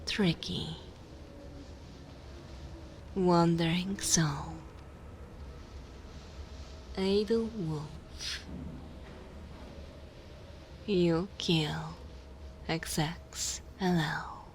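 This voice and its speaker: Woman, ASMR host, low soft voice, breathy half-whisper, very unhurried, deliberate, slight background noise. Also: fairly even intonation. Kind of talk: soft ASMR channel outro.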